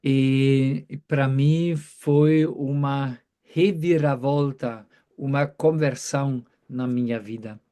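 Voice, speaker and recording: Slow-speaking priest in his fifties. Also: mid-range voice, fairly even intonation, warm tone, clear, resonant tone. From Germany. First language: German. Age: 50s. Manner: halting, pauses to be interpreted. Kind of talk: talk given through an interpreter.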